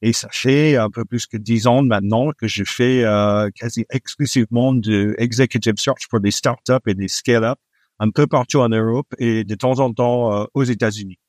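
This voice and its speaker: A middle-aged man speaking French with a foreign accent, not his first language. He answers an interviewer at an easy pace, filling pauses with "euh". The sound is dry and close, with no room around it. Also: mid-range voice, steady tone, no room sound. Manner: frequent hesitations with "euh", warm laughs. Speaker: guest, executive recruiter. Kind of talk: relaxed interview conversation.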